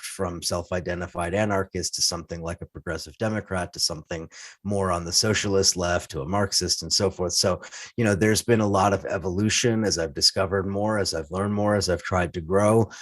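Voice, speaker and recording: A man's voice: deep voice, dry recording, clean studio sound. A middle-aged man from the United States, a guest on an interview podcast, speaking thoughtfully with many fillers and restarts. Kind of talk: casual interview talk.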